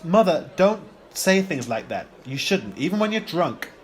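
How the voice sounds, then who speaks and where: An amateur actor playing an awkward, placating college student son in a radio play, hesitant, plain-spoken and apologetic. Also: male voice, sits high, very animated, unhurried. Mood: pained.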